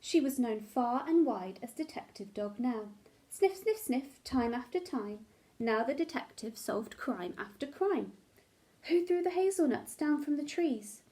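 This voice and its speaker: A woman with a high voice reads a picture book aloud as a teacher would to young children. Her delivery is dramatic and expressive, shaped for small listeners. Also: unhurried. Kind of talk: expressive storybook narration.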